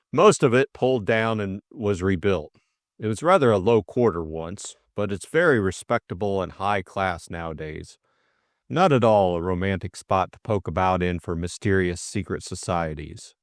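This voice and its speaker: A man voicing a stolid middle-aged police superintendent, cautious, measured and plain-spoken, in an audiobook story. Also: low voice, animated, no echo, clean studio sound, pitched below the performer's own voice.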